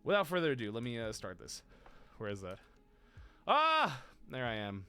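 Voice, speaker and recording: A young adult man hosting a casual livestream, tired and exhausted, rambling in a playful, self-mocking way, his voice deeper than usual today. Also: huge pitch swings, normal speed, sharp tone, smooth, faint music behind. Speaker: stream host.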